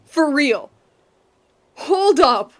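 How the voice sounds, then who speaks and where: A woman game streamer giving casual, animated reaction commentary on a playthrough, slangy and repeating her words. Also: high voice, very slow.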